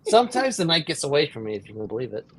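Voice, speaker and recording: A man in his thirties chatting casually, quiet and halting, with a husky voice. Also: a little high, big pitch swings, rough recording. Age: 30s. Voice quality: husky, gravelly voice. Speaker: guest. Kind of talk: casual chat. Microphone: poor mic.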